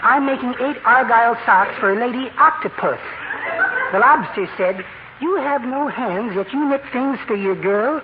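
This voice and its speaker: An actor playing a timid, dainty little amateur poet, mild, polite and precious, in an old radio comedy. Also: male voice, very high voice, moderate pace. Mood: shyly proud. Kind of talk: 1940s radio comedy acting. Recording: muffled, noisy old radio recording.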